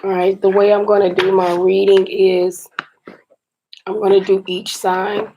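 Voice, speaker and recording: A woman reading tarot cards for her viewers in a chatty, encouraging way, her voice a little scratchy and raspy today. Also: mid-range voice, level tone, a little slow. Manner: chatty and encouraging, often says "you guys". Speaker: tarot card reader.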